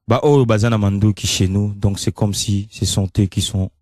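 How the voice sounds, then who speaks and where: A man's voice: on the low side, fairly even intonation, normal speed, darkish tone, no echo, clean studio sound. A man with a Congolese accent hosting a conversational radio show, often repeating and rephrasing his phrases. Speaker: radio host. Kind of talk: conversational radio talk, code-switching.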